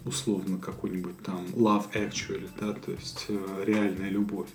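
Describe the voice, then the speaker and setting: A man from Russia co-hosting a podcast, talking in a thoughtful, halting way with many fillers. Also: sits low, level tone, faint music behind. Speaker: podcast co-host. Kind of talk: casual conversation.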